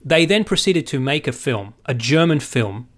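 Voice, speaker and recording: A man co-hosting a podcast in casual movie chat, telling things in an animated, sweary way and checking in with his listener. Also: mid-range voice, moderate pace. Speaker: podcast co-host.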